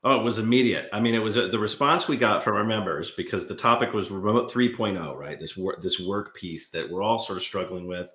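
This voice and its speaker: A man's voice: on the low side, a little fast, hardly any pauses. A man hosting a podcast interview, introducing his guest and asking questions with an enthusiastic manner. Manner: enthusiastic, lively delivery. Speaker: podcast host. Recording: roomy echo.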